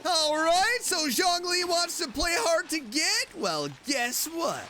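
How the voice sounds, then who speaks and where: A man doing casual gaming commentary, chatting excitedly to himself and his game character. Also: very high voice, slow pace. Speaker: streamer, video game let's player. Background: game music.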